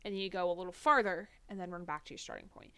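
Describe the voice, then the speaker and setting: A woman's voice: on the low side, rapid-fire, a bit bright, smooth, no echo. A young woman from New Jersey, a streamer chatting casually and rambling while she games. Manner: chatty, rambling, many fillers. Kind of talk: casual chat while gaming.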